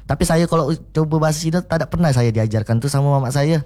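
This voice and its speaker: A man from Pontianak with a Pontianak Malay accent, a radio guest talking in a relaxed, hesitant way and laughing easily. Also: a little high, fast, crowd noise. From Pontianak, Indonesia. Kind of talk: casual radio chat.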